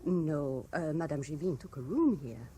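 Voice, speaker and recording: A woman's voice: sits low, lively intonation, moderate pace, warm tone. A woman playing a polite, matter-of-fact hotel concierge in a radio play. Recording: faintly noisy 1970s radio recording.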